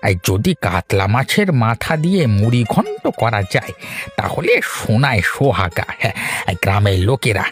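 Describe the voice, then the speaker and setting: A man voicing a greedy, obsequious village shopkeeper in a cartoon, wheedling and flattering. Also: fairly low pitch, normal speed. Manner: fawning, hesitant wheedling. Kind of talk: animated cartoon voice acting. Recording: faint music behind the voice.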